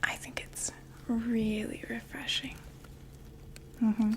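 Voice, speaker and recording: A young woman playing a female vampire door-to-door makeup saleswoman in an ASMR roleplay, whispering slow, gentle, chatty sales talk. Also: fairly high pitch, flat delivery. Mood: soft, soothing.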